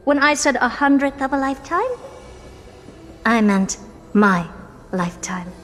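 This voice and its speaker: Haughty game scientist lady, sardonic n bossy, swoopy highish voice. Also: expressive, measured pace, a bit bright. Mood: smug, teasing. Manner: theatrical, condescending, commanding. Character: haughty, sardonic genius woman scientist, impatient and self-assured. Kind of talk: video game voice acting. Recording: long hall reverb with music behind.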